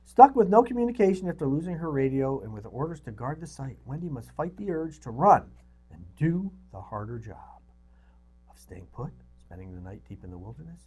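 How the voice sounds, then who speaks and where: Man with a lively, swooping pitch. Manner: rambling, muttering asides to himself. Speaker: co-host of a movie review show. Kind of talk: casual unscripted movie chat, reading synopses aloud.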